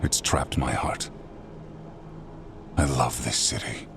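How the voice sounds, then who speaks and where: An actor playing a proud, menacing, possessive warlord conqueror in a video game, speaking in a grandiose, theatrical way with a deep gravelly voice. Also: male voice, fairly even intonation, slow pace, heavily acted. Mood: proud, adoring. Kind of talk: dramatic video game acting. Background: prominent background music.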